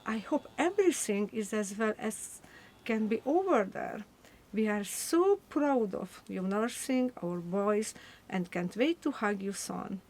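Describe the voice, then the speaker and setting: A woman's voice: medium pitch, animated, a little mellow, poor audio. A woman playing an eager, proud young woman reading her wartime letter to her sister in a radio play. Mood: proud, hopeful. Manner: earnest, deliberate.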